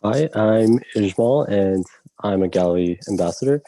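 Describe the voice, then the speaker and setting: A man in his thirties, a guest on a video call, speaking in a calm, deliberate way. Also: on the low side. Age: 30s. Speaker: ambassador.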